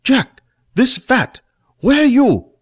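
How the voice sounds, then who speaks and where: A man voicing Fat, a small, tipsy, giddy male creature with a high, slurred voice. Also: sing-song, takes it slowly, breathy edge, heavily acted. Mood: cheerful.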